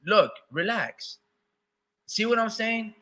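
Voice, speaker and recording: A man's voice: sits high, big pitch swings, pushed and bright. A man co-hosting a casual boxing podcast, arguing back with lots of "bro" and "hold on". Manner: talks in quick interruptions, says "bro" and "hold on" a lot. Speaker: podcast co-host. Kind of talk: casual heated debate.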